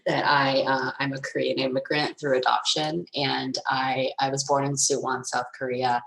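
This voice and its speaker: A woman in her thirties from the rural Midwest, speaking thoughtfully and haltingly as a panelist on a video call. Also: very deep voice, level tone, gravelly, fairly bright tone, roomy sound, rough recording. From Nebraska, USA. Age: 30s. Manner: thoughtful, halting, many fillers. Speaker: panelist and moderator. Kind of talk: reflective panel discussion.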